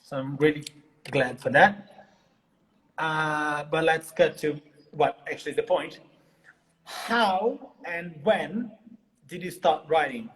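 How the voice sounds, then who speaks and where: A man's voice: fairly high pitch, slow pace, pauses often, fairly bright tone. Ask for a Brazilian man interviewing in an echoey room. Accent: Brazilian Portuguese. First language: Portuguese. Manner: hesitant, many fillers and restarts. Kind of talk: live online interview.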